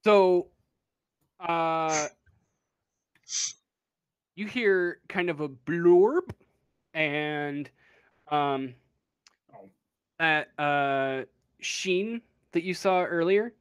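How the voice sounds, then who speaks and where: A game master improvising narration for a tabletop roleplaying game, pausing often to think. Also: medium pitch, smooth, dry recording, lo-fi sound.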